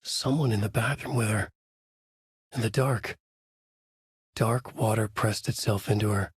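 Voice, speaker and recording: A man playing a brooding, haunted writer in a video game, narrating a dark noir thriller in grave, clipped, dramatic phrases with a husky voice. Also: fairly low pitch, fairly even intonation, unhurried, no echo, clean studio sound. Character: brooding, haunted male writer trapped in a nightmare.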